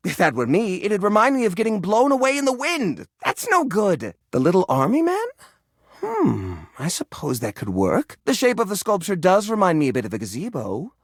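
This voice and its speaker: Fussy, animated, sentimental game character. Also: male voice, high-pitched, moderate pace, dry recording, clean studio sound, heavily acted. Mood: hesitant. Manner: earnest, rambling, expressive, personifies objects. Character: fussy, sentimental male hoarder, an animated household object, easily flustered. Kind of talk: video game character dialogue.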